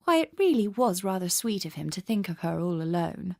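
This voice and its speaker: A woman's voice: lowish voice, big pitch swings, fairly quick, vocal fry, darkish tone. A woman with a British accent, narrating a literary story for radio in a dramatic style. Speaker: story reader.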